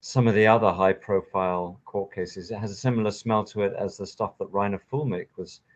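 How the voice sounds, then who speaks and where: A man's voice: lowish voice, fairly even intonation, a little fast. A man hosting a conversational interview, giving emphatic, impassioned opinions. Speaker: podcast host. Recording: dull lo-fi audio.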